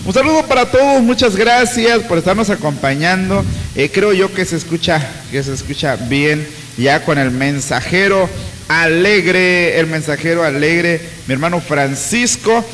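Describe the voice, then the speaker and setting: Joking radio host with reverb. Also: male voice, on the high side, measured pace, a little hiss or hum. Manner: joking, relaxed, with laughs and fillers. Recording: reverberant, faint music behind.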